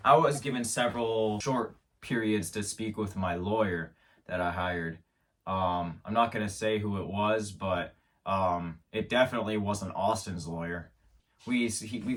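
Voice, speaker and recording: Man host with a lowish voice, stammering through a deadpan tall tale, mic set back from him. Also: far from the mic. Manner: rambling, stammering restarts, mock-serious. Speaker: show host. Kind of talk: absurd comedic monologue.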